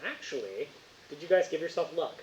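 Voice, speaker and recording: A man acting as game master, narrating casually and bantering with the players in a teasing, excitable way. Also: fairly high pitch, a little fast, crowd noise, low-quality recording.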